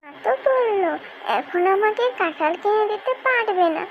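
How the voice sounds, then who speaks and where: A woman's voice: very high-pitched, expressive, moderate pace, dull audio, a crowd in the background. A woman voicing a young fox girl in a children's cartoon, eager and wheedling in a childlike way. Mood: eager, wistful. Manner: wheedling, repetitive pleading. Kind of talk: children's cartoon story voice acting.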